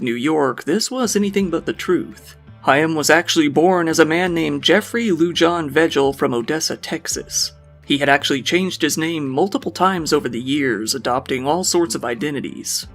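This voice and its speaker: A man giving a scripted true crime narration in an even, measured reading. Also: fairly high pitch.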